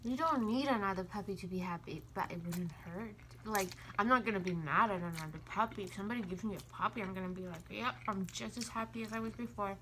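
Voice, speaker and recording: Bright-voiced woman chatting over noisy ambience. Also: medium pitch, quick pace, hardly any pauses. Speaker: food vlogger. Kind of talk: casual mukbang chat.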